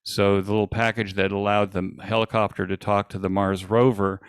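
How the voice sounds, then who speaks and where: A man giving an informal presentation as an event organizer, speaking in a halting, hesitant way with frequent pauses. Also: lowish voice, little pitch movement, smooth, dry recording, clean studio sound.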